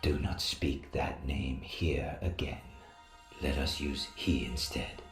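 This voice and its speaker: A man playing a grave, secretive Persian stranger in an audio drama, speaking formally and deliberately in a hushed voice that often drops to whispers. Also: very deep voice, level tone. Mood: secretive, wary. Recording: echoey, roomy sound with music.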